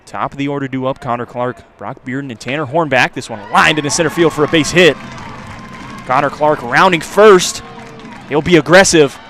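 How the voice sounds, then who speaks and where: A man's voice: mid-range voice, normal speed, fairly bright tone, some chatter behind. A man calling a college baseball game live as a play-by-play radio announcer.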